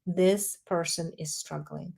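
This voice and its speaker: A woman answering as a guest author, calm and emphatic as she explains. Her voice sits a little low for a woman. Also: slow pace, vocal fry. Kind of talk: interview answers.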